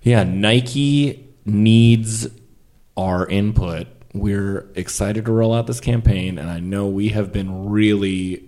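A man in improvised radio theater playing a grandiose, clueless advertising executive who speaks in a halting, self-important way. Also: fairly low pitch, steady tone, a little mellow.

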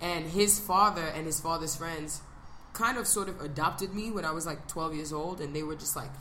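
Young woman co-host, lower pitch, forceful bright tone, animated banter, roomy recording with faint noise. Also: normal speed. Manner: animated, profane, drawls when musing. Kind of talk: casual chat. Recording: echoey room with background noise.

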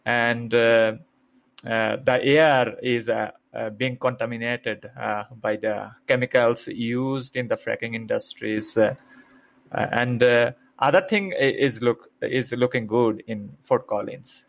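A man's voice: mid-range voice, fairly even intonation, slow pace, gravelly. A young man from Bangladesh, a graduate student answering interview questions with careful explanations and many hesitant fillers. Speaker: guest, PhD student.

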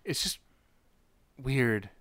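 Bright, clear-voiced man chatting casually. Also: medium pitch, expressive, drawn-out words, dry recording. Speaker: podcast co-host recapping a film. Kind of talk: casual chat.

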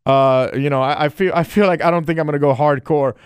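A man's voice: fairly high pitch, steady tone, quick pace, smooth, no room sound, clean studio sound. A man hosting a casual solo podcast and chatting in a loose, rambling, friendly way. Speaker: podcast host and streamer.

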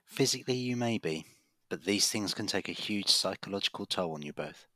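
A man playing a professional, earnest family doctor in an audio drama, speaking in a measured way. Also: fairly low pitch, lively intonation, bright, forceful tone, no echo. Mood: reassuring, concerned. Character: reassuring, persistent male family doctor with a secret.

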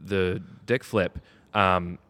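A man's voice: deep voice, steady tone, drawn-out words, fairly bright tone, dry recording, clean studio sound. A man being interviewed on a podcast as a wrestler, talking in a cocky, boastful heel manner. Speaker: wrestler guest.

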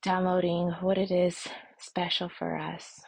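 A woman leading a guided meditation and prayer as an instructor, speaking softly and soothingly with long, unhurried pauses. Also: fairly low pitch, little pitch movement, gravelly, breathy edge, dry recording.

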